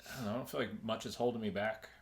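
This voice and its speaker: A man around forty chatting as a guest on a podcast. His voice sits a little low, and he talks in a relaxed, reflective way, now and then stumbling as he looks for the right words. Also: very fast, a little hiss or hum. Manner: relaxed, thoughtful, a little hesitant. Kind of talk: casual chat.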